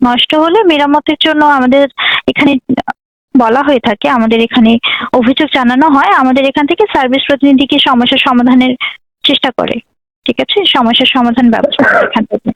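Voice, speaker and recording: A woman's voice: sits high, steady tone, a little fast, no echo, highs cut off. A young Bangladeshi woman working as a customer service agent, speaking in a polite, formulaic, formal way on the phone.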